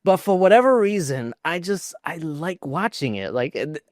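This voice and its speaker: A man's voice: on the high side, very animated, moderate pace, no room sound, clean studio sound. A man co-hosting a podcast in casual panel chat, rambling along with enthusiasm. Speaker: podcast co-host.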